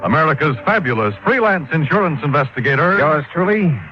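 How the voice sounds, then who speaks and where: A man's voice: a little high, animated, fairly quick. A man announcing a show in emphatic, showy 1950s radio style. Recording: dull old radio recording. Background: music.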